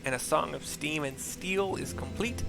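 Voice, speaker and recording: A man hosting a gaming video, chatting casually in a halting, rambling way. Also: on the high side, gravelly, over music, low-quality recording. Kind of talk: casual chat over gameplay.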